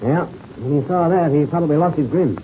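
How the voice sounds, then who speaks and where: An actor playing a seasoned, level-headed American pilot and mentor in an old radio adventure serial, hearty and instructive, with an American accent. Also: male voice, a little high, a little fast, mellow, natural voice. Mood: friendly. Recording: noisy, dull old radio recording.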